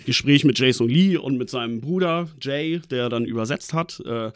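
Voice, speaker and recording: A man's voice: medium pitch, normal speed, smooth. A man working as a sports reporter tells anecdotes in casual chat, jokey and colloquial.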